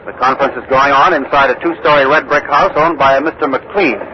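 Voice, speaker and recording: An actor playing a radio field reporter giving a formal, precise live-news report. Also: male voice, mid-range voice, fairly quick, crowd noise, natural voice. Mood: intent, factual. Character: measured male radio reporter at historic scene. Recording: muffled old radio, faint noise.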